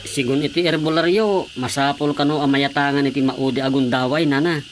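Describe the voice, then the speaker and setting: A woman's voice: extremely low pitch, steady tone, brisk. A woman with an Ilocano accent playing an earnest, helpful young village man in a radio drama.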